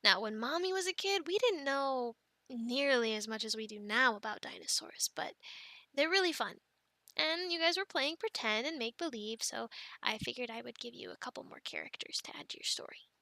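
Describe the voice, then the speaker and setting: A woman playing an affectionate, playful young mother in an ASMR family roleplay, talking gently and haltingly to her children. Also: fairly high pitch, expressive, vocal fry, no room sound, exaggerated voice. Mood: playful, fond. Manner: gentle, halting, soft-spoken with playful bursts.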